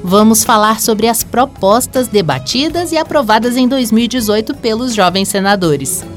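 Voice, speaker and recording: Female news voice-over, even and measured. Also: fairly high pitch, over music. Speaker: narrator. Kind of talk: scripted news narration.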